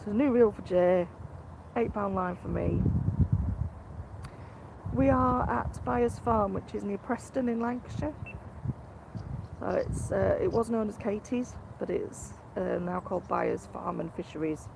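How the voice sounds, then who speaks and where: A hoarse woman, chatting quietly to viewers on a fishing live stream outdoors by a lake. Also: medium pitch, a little slow, noisy background. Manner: speaks quietly, greets viewers. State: hoarse from a cold. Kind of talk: casual live-stream chat.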